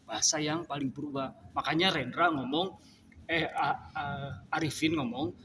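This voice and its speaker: A man's voice: on the high side, steady tone, unhurried, bright, forceful tone, slight background noise. A man on a discussion panel, reasoning through his idea step by step like a lecture.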